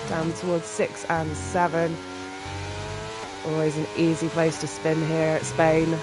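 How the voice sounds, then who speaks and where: A live sim racing commentator with a stuffy cold, reacting quickly and excitedly to lap times. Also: mid-range voice, noisy background. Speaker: race commentator.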